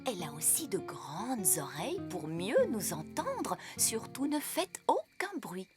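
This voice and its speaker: A woman voicing a bossy fairy nanny who works as a tooth fairy, brisk, theatrical and admonishing, in a dubbed children's cartoon. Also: mid-range voice, wildly swooping pitch, music in the background. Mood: cautious, admonishing.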